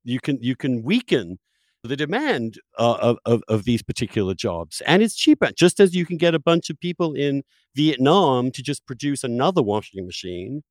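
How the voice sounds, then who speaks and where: A man's voice: mid-range voice, sing-song, moderate pace, no echo. A man hosting an intellectual interview, arguing his points emphatically in conversation. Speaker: interview host.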